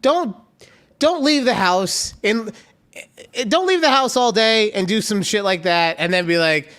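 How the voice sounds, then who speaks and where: Male podcast co-host, loose rambling delivery, slightly higher voice, casual chat. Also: expressive, a little slow, a bit bright. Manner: chatty, rambling, acts out quoted voices.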